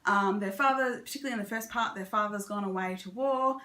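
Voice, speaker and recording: A woman's voice: mid-range voice, fast talker, pushed and bright, reverberant. A woman giving a chatty, casual book review as a vlogger. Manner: chatty, conversational.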